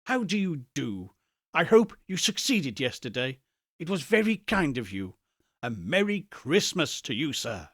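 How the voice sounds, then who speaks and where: A man performs an elderly reformed miser from a storybook, eager and full of kindly joy. His speech is courteous and effusive, with a lively, swinging pitch and a close, dry sound. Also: a little slow, slightly rough, no room sound, heavily acted. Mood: delighted, earnest.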